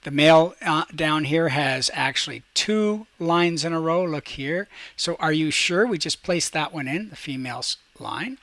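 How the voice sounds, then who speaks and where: A man with a fairly high voice leads a step-by-step software lesson. He explains each action aloud as he does it, in an instructional manner, and the sound is dry and close. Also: lively intonation, a little slow, hardly any pauses, dry recording. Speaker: teacher and tutorial host. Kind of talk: screen-recorded software tutorial, step-by-step instruction.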